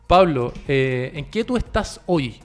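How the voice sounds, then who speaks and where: A man with a Chilean accent hosting a conversational political interview, with formal, deliberate phrasing. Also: on the high side, animated. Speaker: interview host. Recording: faint music in the background.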